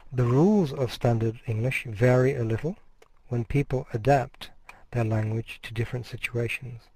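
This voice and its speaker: Mellow-voiced man giving a measured lecture. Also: mid-range voice, no echo, rough recording. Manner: measured, explanatory, restarts words mid-phrase. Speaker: university lecturer. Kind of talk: recorded course lecture.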